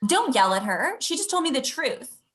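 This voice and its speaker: A woman's voice: high-pitched, big pitch swings, moderate pace, fairly bright tone. A woman playing a suspicious, probing girlfriend in a comedy table read on a video call, pointed and questioning. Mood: hurt.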